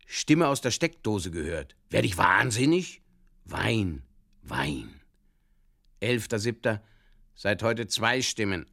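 A man playing a despairing drinker who reads out absurd diary entries in terse, deadpan fragments, in a comic audio drama. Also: on the low side, exaggerated voice.

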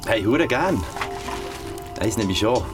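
A brash, easygoing young Swiss man in a radio play. His voice is lowish and swings a lot in pitch, casual and blunt in a Swiss German accent, over clear music. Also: lively intonation, slow. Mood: breezy, brash. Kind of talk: naturalistic audio drama dialogue.

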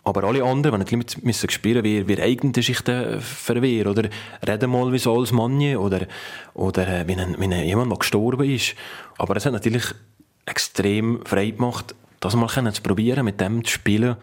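A man's voice: fairly low pitch, steady tone, a little fast. A man with a Valais Swiss German accent talking spontaneously in an interview, often adding tag questions. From Valais, Switzerland. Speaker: band member being interviewed.

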